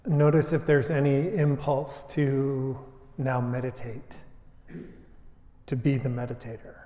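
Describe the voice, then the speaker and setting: A man leading a guided meditation as a teacher, speaking in short unhurried phrases with long pauses. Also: mid-range voice, level tone, dark tone. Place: indoor retreat space before a group. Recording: echoey, reverberant room.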